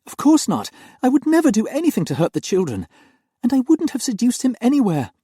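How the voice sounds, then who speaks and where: A man's voice: very high-pitched, wildly swooping pitch, brisk, mellow, slightly rough, no echo, studio quality, pitched above the performer's own voice, full character voice. A man voicing a frightened young woman nanny, pleading earnestly through tears.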